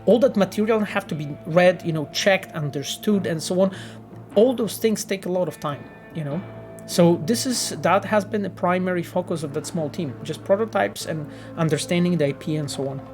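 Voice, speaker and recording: Male streamer and game dev, casual Q&A, earnest and halting, faint music under him. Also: high-pitched, a little mellow. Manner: earnest, halting explanations with many restarts. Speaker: game developer streaming and answering chat. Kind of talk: casual livestream Q&A.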